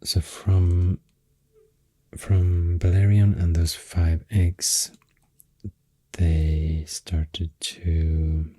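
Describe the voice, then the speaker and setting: A man with a Swedish accent speaking in a deep, soft, half-whispered ASMR ramble, halting and correcting himself, close to the microphone. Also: level tone, no echo. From Sweden. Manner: hesitant, slow, halting, self-correcting. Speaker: ASMR artist.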